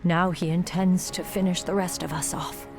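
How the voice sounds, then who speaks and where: Vengeful elf warden boss lady, solemn n commanding, game cutscene, loud music behind her. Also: on the low side, little pitch movement, normal speed, creaky. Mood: urgent, commanding. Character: vengeful commanding elven warden woman, stern warrior leader. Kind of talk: dramatic video game cutscene acting.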